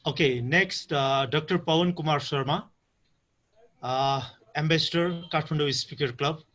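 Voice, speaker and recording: A man with a fairly high voice moderates an online meeting. He speaks informally and haltingly, often checking in as he calls on people. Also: a bit bright. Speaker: meeting facilitator calling on participants. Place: online video call.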